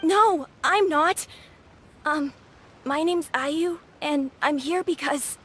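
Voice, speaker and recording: A woman's voice: high voice, slow pace, a bit bright, a little hiss or hum. A woman playing a worried, earnest young boy in a video game. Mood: insistent. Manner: earnest, childlike. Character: worried young boy seeking his forgetful father. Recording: music behind the voice.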